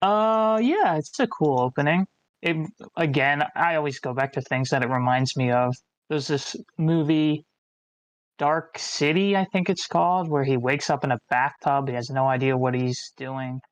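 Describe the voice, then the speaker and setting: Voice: medium pitch, moderate pace, no room sound. A participant in a casual group discussion, musing loosely and thinking aloud. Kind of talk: casual chat.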